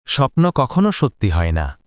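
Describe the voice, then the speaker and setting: A man's voice: medium pitch, huge pitch swings, moderate pace, darkish tone, no room sound, studio quality. A man playing the worried father of a teenage boy in a narrated horror story. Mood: concerned.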